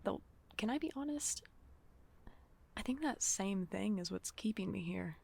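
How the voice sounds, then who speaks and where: A woman's voice: mid-range voice, expressive, a little slow, creaky, warm tone. A woman playing a wistful, haunted figure recording a private log in a horror audio drama, hesitant and brooding.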